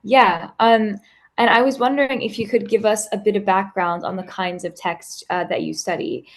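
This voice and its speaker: A woman's voice: mid-range voice, fairly even intonation, moderate pace. A young woman interviewer asking questions and reacting with enthusiastic, casual remarks.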